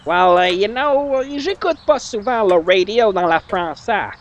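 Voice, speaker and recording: A man's voice: high voice, animated, unhurried. A man voicing a laid-back English-speaking buddy in a radio comedy, hesitant, with an English accent and broken French sprinkled with English words. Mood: puzzled, apologetic. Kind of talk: old-time radio comedy acting. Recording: dull, lo-fi, faint noise.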